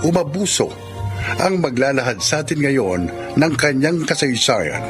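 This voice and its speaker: A man with a Filipino accent reading scripted narration as a radio drama announcer, measured and formal. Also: fairly high pitch, animated. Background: music.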